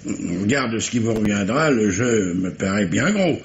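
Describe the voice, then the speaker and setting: Shrewd police commissioner, old radio drama, lots of hums and hesitation, lively pitch, dull noisy recording. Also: male voice, measured pace. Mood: probing, matter-of-fact. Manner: hesitant, probing, full of muttered hums. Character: shrewd, patient male police commissioner, politely probing. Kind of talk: 1950s French radio mystery acting.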